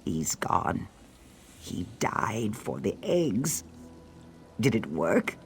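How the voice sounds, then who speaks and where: A grieving reptilian villager in a fantasy game. The speech is slow and swings widely in pitch, dramatic, bitter and wounded. Music sits clearly behind. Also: very animated, heavily acted. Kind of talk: video game character dialogue.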